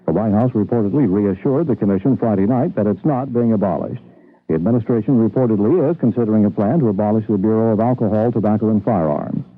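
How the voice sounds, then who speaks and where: A man's voice: on the low side, fast. An American man reading a scripted radio newscast as its anchor. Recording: muffled narrow-band old radio broadcast.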